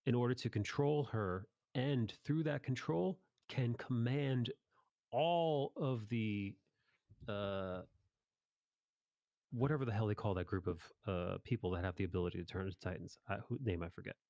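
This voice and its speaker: A man's voice: fairly low pitch, normal speed, darkish tone, dry recording, clean studio sound. A man hosting a casual chat about anime, talking informally in rambling recaps with some swearing. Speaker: podcast host.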